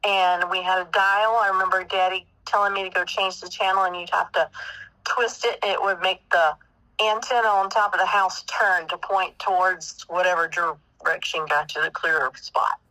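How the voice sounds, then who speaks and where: Casting: Southern American woman, 50s, bright tone in a dry, close sound, casually answering family interview questions, thinking out loud. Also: on the low side, fairly even intonation, moderate pace, no room sound, low-quality recording. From South Carolina, United States.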